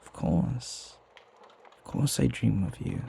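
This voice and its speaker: Casting: devoted flirty butler male actor, romantic ASMR roleplay. Soft, teasing, gravelly low end, highly varied intonation. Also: huge pitch swings, slight background noise, full character voice. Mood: tender, flirtatious. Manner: soft, teasing, tender, hesitant pauses.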